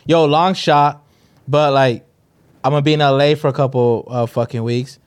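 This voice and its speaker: A man's voice: mid-range voice, fairly even intonation, normal speed, smooth. A young man co-hosting a podcast, telling a story in laid-back slang-heavy casual chat. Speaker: podcast co-host.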